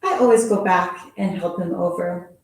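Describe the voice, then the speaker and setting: A woman teacher reading a children's picture book aloud in a playful, expressive storytelling manner, adding little sound effects. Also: on the low side, normal speed, a little hiss or hum. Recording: very echoey room from far off.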